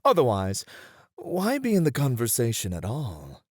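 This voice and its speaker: A performer playing a poised, playful etiquette tutor in a scripted ASMR roleplay, speaking with refined, deliberate phrasing. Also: mid-range voice, wildly swooping pitch, a little mellow, no echo, clean studio sound. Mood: playful instructing.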